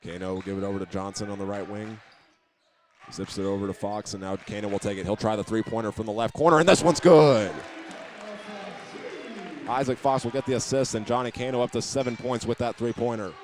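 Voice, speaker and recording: Male American basketball announcer, very even delivery, steady move-by-move live call over clearly audible gym noise. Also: fairly low pitch, a little fast. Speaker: play-by-play sports announcer. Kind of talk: live basketball radio commentary. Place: basketball game in a gymnasium.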